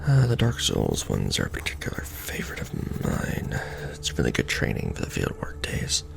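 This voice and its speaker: A man playing a casually menacing secret-society recruiter in an ASMR roleplay, with a breathy, mostly whispered, gravelly voice and sardonic, conspiratorial patter, close on the microphone. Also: a bass voice, huge pitch swings, moderate pace, heavily acted. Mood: chummy, sardonic. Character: casually menacing Illuminati recruiter onboarding a new initiate.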